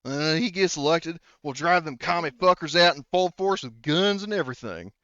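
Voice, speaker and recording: A man's voice: fairly high pitch, lively intonation, moderate pace, fairly bright tone, smooth, dry recording, dull audio, voice pushed up. Man playing a smug, folksy country colonist. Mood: smug, fired up. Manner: folksy, ungrammatical rural slang. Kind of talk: in-character tabletop roleplay.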